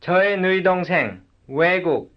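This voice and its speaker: A man reading short cue words for a language substitution drill, one at a time in a slow, measured way. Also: high-pitched, animated. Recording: dull lo-fi recording.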